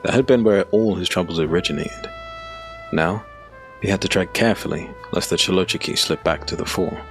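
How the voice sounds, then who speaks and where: A man reading scripted dark fantasy narration in a measured, dramatic, gravelly voice. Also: low voice, faint music behind. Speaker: audiobook narrator.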